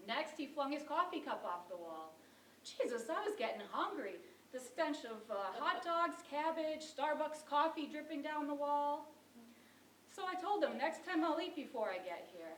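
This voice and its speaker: A woman's voice: high-pitched, big pitch swings, normal speed, fairly bright tone. A woman performing a wry, exasperated struggling actress in a theatrical monologue, telling her story with dramatic emphasis. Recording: roomy distant mic, faint noise.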